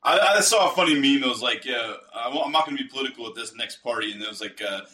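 A man's voice: mid-range voice, expressive, quick pace, sharp tone. A man hosting a podcast, chatting casually and asking questions in an informal, joking way. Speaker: podcast host. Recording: roomy echo, distant from mic.

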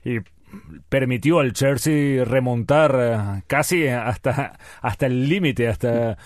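A man commenting on football, stacking up numbers and facts and pausing with frequent fillers. His voice is mid-pitched and the recording is dry and close. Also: dry recording. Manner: fact-heavy, hesitant fillers. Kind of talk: sports radio talk.

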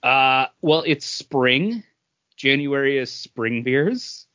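A man's voice: medium pitch, animated, takes it slowly, sharp tone, no echo. A man co-hosting a podcast, explaining things casually and often repeating himself. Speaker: podcast co-host. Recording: dull, lo-fi sound.

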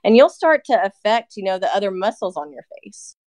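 A woman's voice: mid-range voice, lively intonation, brisk, a crowd in the background. A woman in her thirties, a nurse practitioner guest, explaining in casual chat with lots of "you know" fillers. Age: 30s. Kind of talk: casual podcast interview.

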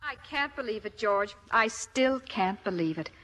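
Loyal wife, medium-pitched. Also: female voice, expressive, measured pace, a bit bright. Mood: consoling. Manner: affectionate, plainspoken, protective. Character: loyal, supportive coach's wife and mother. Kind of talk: 1940s-50s radio acting. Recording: vintage radio broadcast.

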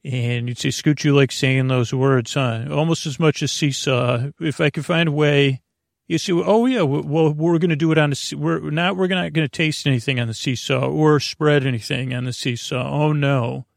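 Gravelly, meandering male bedtime podcaster. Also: fairly high pitch, no echo, clean studio sound. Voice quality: gravelly, creaky. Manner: meandering, halting, over-explaining, trailing off. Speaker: podcast host. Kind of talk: rambling bedtime podcast monologue.

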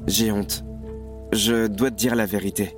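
An actor playing an anguished, ashamed young revolutionary in a French audio drama, intense and confessional. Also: male voice, fairly low pitch, level tone, a little slow, close to an everyday voice. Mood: ashamed, afraid. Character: anguished, fearful young male revolutionary ashamed of his fear. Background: music.

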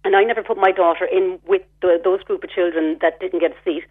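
A woman's voice: lowish voice, steady tone, fast. An Irish woman calling in to a radio show, describing her complaint in detail and with a sense of grievance. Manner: aggrieved, detailed, retells her exchanges. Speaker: caller. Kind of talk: phone-in radio call. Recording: phone line.

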